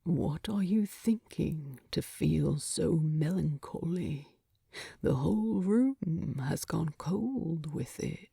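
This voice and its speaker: A woman voicing a gruff, weary, wounded dwarf king with a breathy rumble in a storybook narration. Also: expressive, slow, warm tone, dry recording, clean studio sound, full character voice. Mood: drowsy, concerned. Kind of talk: fan fiction audiobook character voice.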